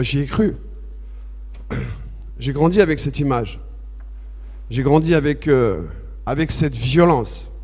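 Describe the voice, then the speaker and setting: A man from France giving a personal testimony at a public debate, halting and repetitive at first, then impassioned and emphatic. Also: medium pitch, darkish tone. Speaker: association president speaking at a public debate. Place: debate hall with audience. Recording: long reverb of a large hall.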